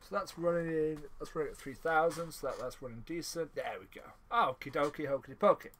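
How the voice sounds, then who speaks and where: A man's voice: a little high, brisk, fairly bright tone, a little hiss or hum. A man co-hosting a podcast in a casual, chatty interview, rambling and jokey, often repeating his words as he goes. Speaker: podcast co-host interviewing a friend.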